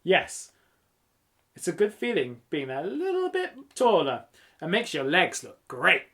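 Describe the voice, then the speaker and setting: A man voicing an excitable, teasing, encouraging schoolgirl in a children's audiobook. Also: on the high side, a little slow, full character voice. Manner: eager, gushing, teasing. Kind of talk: children's audiobook character reading.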